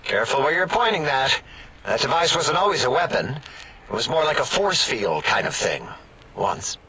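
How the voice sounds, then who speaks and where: An actor playing a petty, excitable robotic scientist in a video game, snide, sarcastic and quick to bicker. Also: male voice, mid-range voice, vocal fry, slightly rough, heavily acted. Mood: defensive, grumbling. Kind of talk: video game character acting.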